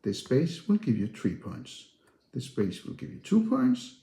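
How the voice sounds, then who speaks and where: Man, lively swooping intonation, mellow tone, step-by-step rules explainer, roomy recording. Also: mid-range voice, a little slow, gravelly. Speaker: board game presenter. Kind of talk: instructional narration, explaining game rules. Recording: roomy, echoey sound.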